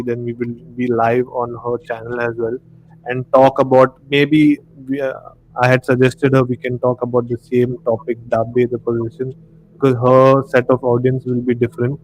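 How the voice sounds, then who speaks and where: Indian guy hosting a casual live podcast chat, average pitch, bit of noise on the audio. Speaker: podcast host. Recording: clearly noisy live-stream audio.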